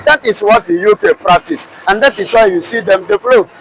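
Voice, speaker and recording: A man's voice: sits high, brisk, background noise. A man with a Nigerian accent, arguing passionately in a street interview with many rhetorical questions and restarts.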